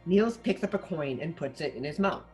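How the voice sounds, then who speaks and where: Woman narrator, low pitch, animated intonation, scripted stage directions, faint background music. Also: fairly quick. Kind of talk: scripted narration at a table read.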